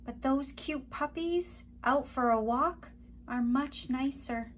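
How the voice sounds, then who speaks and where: A woman voicing a gentle, downhearted mother hen in a children's storybook, plaintive and sighing. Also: high voice, slow, slight background noise, pitched above the performer's own voice. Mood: wistful, sad.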